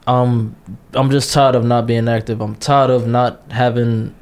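A man's voice: medium pitch, little pitch movement, a little slow, darkish tone, smooth. Blunt, passionate man in his twenties. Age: 20s. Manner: blunt, repetitive, passionate, curses a lot. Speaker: podcast co-host. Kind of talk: casual podcast chat.

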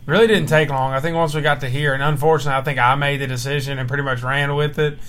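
A man's voice: a little high, flat delivery, fast talker, a bit bright. A young man co-hosting a casual chat with his wife, joking, teasing and laughing often, at home at night. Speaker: podcast co-host and church minister. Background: faint baby monitor hiss.

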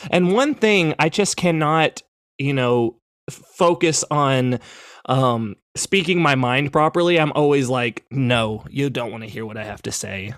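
A man's voice: medium pitch, unhurried, a bit bright, dry recording, studio quality. A young man chatting casually on a podcast, hesitant, often stammering and restarting his sentences. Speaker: podcast cohost, video creator.

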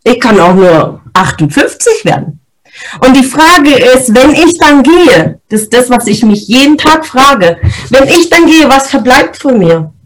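A woman's voice: high voice, measured pace, low-quality recording. A woman, hosting a podcast and giving a motivational talk on setting goals, explaining step by step and checking in with her listeners. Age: 30s. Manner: lively, explains step by step with frequent "ja?" check-ins. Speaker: podcast host.

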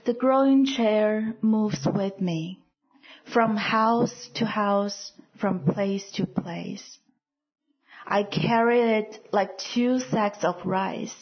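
A woman reading her own poem aloud in a soft, measured way, pausing between lines. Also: mid-range voice, dull audio. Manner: soft, deliberate phrasing with pauses between lines. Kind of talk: slow, measured poetry reading.

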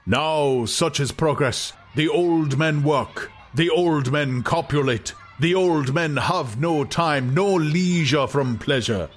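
A man voicing a grandiose older world leader in an audiobook, lecturing in measured oratory and savouring each list. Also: fairly high pitch, voice pushed up. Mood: grandiose, rhapsodic. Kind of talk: dramatized audiobook acting.

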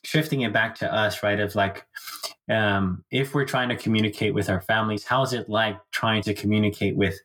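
A male host with a somewhat low voice. He speaks in an easygoing, conversational way, with fillers, and asks follow-up questions. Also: steady tone, moderate pace, fairly bright tone, rough recording. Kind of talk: casual conversation.

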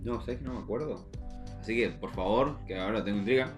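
A young man with a Rioplatense Argentine accent co-hosting a casual podcast, jokey and slangy, telling stories in an animated way. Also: on the low side, quick pace, over music. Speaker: podcast co-host.

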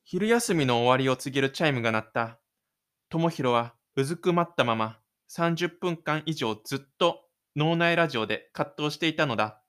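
A man's voice: fairly high pitch, expressive, normal speed, a bit bright, clean tone. A man narrating a scripted audio drama in a dramatic storytelling style.

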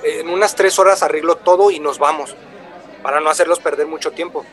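An actor playing an earnest, polite traveling wood buyer who explains himself eagerly in a radio drama. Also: male voice, fairly high pitch, fairly even intonation, brisk, fairly bright tone, lightly acted. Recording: crowd murmur of a café behind.

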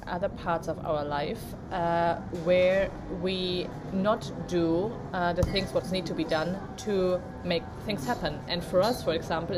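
A woman co-hosting a casual motivational podcast, speaking in halting English with non-native phrasing and many fillers. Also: fairly low pitch, background noise. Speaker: podcast co-host.

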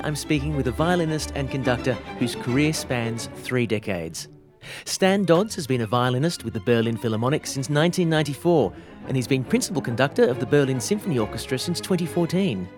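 A man's voice: medium pitch, a little mellow. Polished radio host, man. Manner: polished, friendly presenter delivery. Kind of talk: radio interview. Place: radio studio.

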